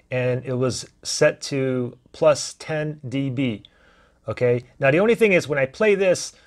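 Relaxed man walking through a gear test. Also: medium pitch. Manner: relaxed, step-by-step with fillers. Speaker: gear reviewer. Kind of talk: casual how-to walkthrough. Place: indoor room, desk demo. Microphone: camera shotgun mic.

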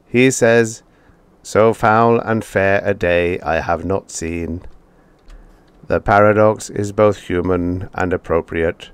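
An emotionless machine teacher, given voice by a male narrator, speaks in a flat metallic tone. The delivery is slow, low and carefully measured, without feeling. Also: clear, resonant tone, no echo. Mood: instructive. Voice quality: metallic, even, unfeeling.

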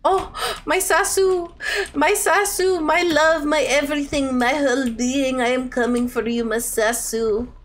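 A woman's voice: sits high, unhurried, a little hiss or hum. A young woman chatting playfully on a game livestream, reading out viewer messages with dramatic, gushing affection. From Ontario, Canada. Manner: animated and playful, with dramatic outbursts and gushing endearments. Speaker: live streamer. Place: indoor room at a streaming setup.

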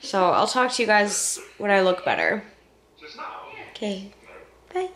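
A woman's voice: medium pitch, very animated, measured pace, a bit bright. Stuffy-nosed woman chatting on the couch. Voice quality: nasal, stuffy. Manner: rambling, chatty, swears casually. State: congested with a cold. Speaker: vlogger. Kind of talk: casual chat to camera. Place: living room, on a couch. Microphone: handheld camera close to the face.

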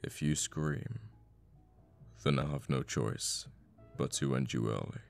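A man playing a cocky assassin boyfriend in an intimate ASMR audio roleplay, murmuring and sweary, with sighs and pauses. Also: a bass voice, gravelly, faint music behind, full character voice. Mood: quiet menace. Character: cocky young male assassin, flippant, menacing, secretly a devoted boyfriend.

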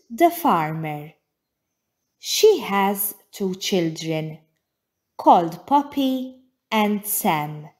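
A woman reading a children's storybook aloud as narrator, with deliberate, careful phrasing. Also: mid-range voice, darkish tone.

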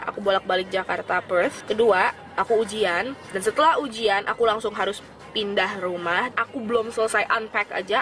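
A woman's voice: medium pitch, fairly bright tone, rough recording. A young woman chatting casually as a vlogger, recorded on a handheld camera inside a car. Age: young adult. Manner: chatty, informal, slips between Indonesian and English. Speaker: beauty vlogger. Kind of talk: casual vlog chat. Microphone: handheld camera at arm's length.

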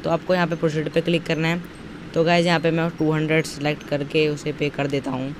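Young man, slightly high voice, flat intonation, instructional, repetitive phrasing, informal tutorial. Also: a little fast. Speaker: tutorial presenter. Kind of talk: informal step-by-step screen tutorial voice-over. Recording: noisy, outdoor traffic-like background.

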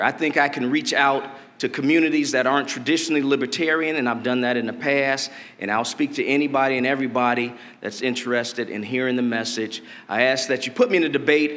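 Middle-aged man from Detroit, candidate at a convention podium, deliberate measured delivery, mid-pitched voice, long reverb from the hall. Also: a bit bright. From Detroit, Michigan. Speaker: political candidate. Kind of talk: campaign stump speech. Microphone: podium mic.

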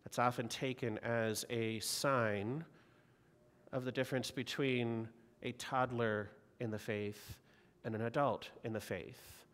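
A man's voice: medium pitch, fairly even intonation, takes it slowly, fairly bright tone. A man in his forties preaching a sermon from the pulpit, earnest and exhorting, with repeated rhetorical questions. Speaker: pastor. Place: large church sanctuary. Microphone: pulpit mic. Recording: long reverb of a church hall.

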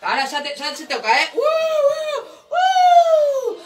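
A woman's voice: extremely high pitch, huge pitch swings, takes it slowly, heavily acted. A woman playing an eager, childlike, pestering clown in a live sketch, exclamatory and repetitive, with a Rioplatense accent. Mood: giddy. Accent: Rioplatense Spanish. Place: indoors at a table, mic away from mouth. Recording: roomy distant mic, music behind. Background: music.

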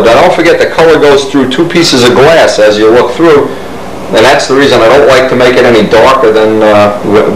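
A middle-aged man casually explaining a hobby technique while he works at his kitchen stove, filmed on a camcorder. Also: medium pitch. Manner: rambling, practical, talks while doing. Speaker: model builder showing a dyeing technique. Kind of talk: casual how-to narration while working. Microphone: camcorder mic, filming handheld. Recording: echoey kitchen with noisy camcorder hiss.

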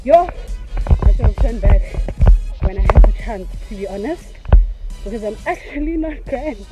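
South african girl filming herself on a hike, puffing, high bouncy voice, chatty and jokey, music under it. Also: lively intonation, normal speed, lo-fi sound. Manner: chatty, laughing, self-mocking complaints. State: out of breath from climbing. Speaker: vlogger. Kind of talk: casual hiking vlog to camera. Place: outdoors on a rocky mountain trail. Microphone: phone held at arm's length.

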